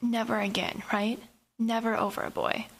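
A woman's voice: on the high side, flat delivery, moderate pace. A woman playing a bubbly, chatty teenage girl in a radio horror drama, with girlish casual delivery.

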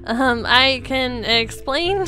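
A woman's voice: high voice, bright, forceful tone, faint music behind, full character voice. A woman playing a sneaky female pet thief who stammers evasively and feigns innocence in a game roleplay. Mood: caught, evasive. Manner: halting, evasive. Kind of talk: improvised video game roleplay.

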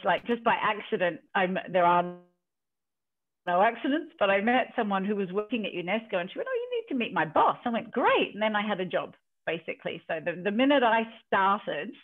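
A woman in her fifties from New Zealand, a leadership coach telling her story in a relaxed video call interview from her home, often quoting what she and others said. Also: medium pitch. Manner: storytelling, chatty, quotes herself. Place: home office in a country house. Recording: tinny video call audio.

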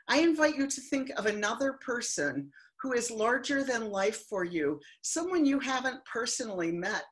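Slow reflective older woman preaching. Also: a little high, a bit bright. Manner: slow, reflective, heartfelt delivery. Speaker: minister. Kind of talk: sermon. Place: home living room. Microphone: webcam on video call.

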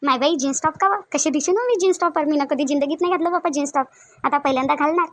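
A woman's voice: high-pitched, racing, dry recording, close to an everyday voice. A woman voicing a shy, naive young newlywed wife in a cartoon, fretful and exclaiming, with a Varhadi Marathi accent from Vidarbha. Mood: anxious wonder. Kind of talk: cartoon dialogue acting in colloquial dialect.

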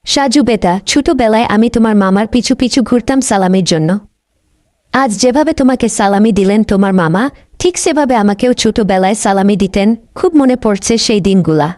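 A woman voicing a gentle, caring mother in a children's cartoon, affectionate and full of advice. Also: medium pitch, expressive, a little fast, no echo, clean studio sound, lightly acted. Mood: fond. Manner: affectionate, advising.

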